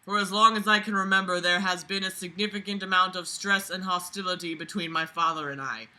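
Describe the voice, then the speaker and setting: A woman's voice: lowish voice, a little fast, rough recording. A woman reading a story aloud and breaking in with incredulous, sweary, theatrical commentary. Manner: animated outbursts and sarcastic asides. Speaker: host of a reading-and-reacting video.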